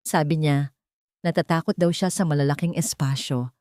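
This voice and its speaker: A scheming young wife from an audio melodrama, voiced by a woman narrator. She is cruel underneath and sugary on top, with a fairly low female pitch and a close, dry sound. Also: fairly even intonation, moderate pace, a little mellow, no room sound, close to an everyday voice. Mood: falsely sweet. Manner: menacing threats, sugary fake tenderness. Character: cruel scheming young daughter-in-law, threatening, falsely sweet.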